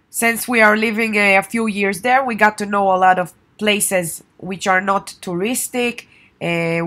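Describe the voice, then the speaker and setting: A young woman whose first language is Hebrew chats informally to her viewers, with a voice of middling pitch. She drops plenty of fillers and restarts as she goes, and she talks the way someone does when they are pitching their own travel guide. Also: fairly bright tone. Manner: chatty and informal, with many fillers. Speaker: travel guide author and tour operator. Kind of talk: casual promotional vlog.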